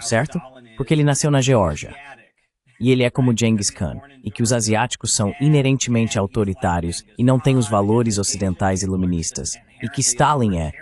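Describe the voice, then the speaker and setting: A man's voice: mid-range voice, a little mellow. A man giving political commentary as an interview guest in a translated voice-over, explaining in a conversational manner.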